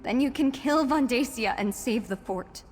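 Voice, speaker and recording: A woman's voice: fairly high pitch, lively intonation, moderate pace, slight background noise, natural voice. An actress playing a fierce, reckless young woman in a video game, impassioned and defiant in her grief. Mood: vengeful, desperate. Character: vengeful grieving young sister, fierce and reckless. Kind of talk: video game character acting. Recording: reverberant hall, game music behind.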